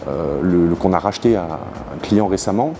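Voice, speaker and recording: A man's voice: deep voice, animated, a little fast, warm tone. A man chatting casually as he explains things like a garage owner, often hesitating and searching for his words. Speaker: garage owner showing customers' cars. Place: large workshop hangar, indoors. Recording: clear background noise in a garage.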